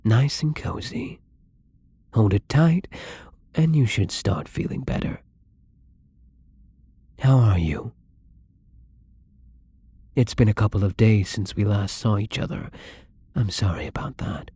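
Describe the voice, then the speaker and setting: A man playing a gentle, caring vampire in an intimate ASMR roleplay, speaking softly and tenderly with a husky, breathy voice. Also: lowish voice, slow, pauses often, exaggerated voice. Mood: caring, attentive. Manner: soft-spoken, tender, breathy.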